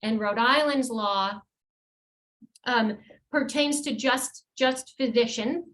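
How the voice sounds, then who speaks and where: A woman attorney presenting a professional webinar, explaining points in a measured way with occasional fillers and restarts. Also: on the high side, fairly bright tone.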